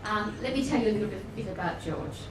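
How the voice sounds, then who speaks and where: A woman welcoming guests at a luncheon, formal but with warm chatty asides. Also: medium pitch, quick pace. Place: function room at a luncheon event. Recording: echoey room, distant mic, noisy.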